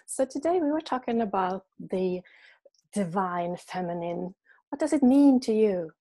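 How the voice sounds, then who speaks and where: Woman, Swedish accent, host, mellow, gentle, welcoming. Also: mid-range voice, animated, a little slow. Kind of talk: interview hosting.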